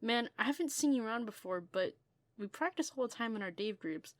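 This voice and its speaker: A woman's voice: a little high, lively intonation, brisk, no echo, studio quality, close to an everyday voice. A woman voicing a laid-back, self-assured young male cosplayer in a comic fan dub. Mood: smug, friendly.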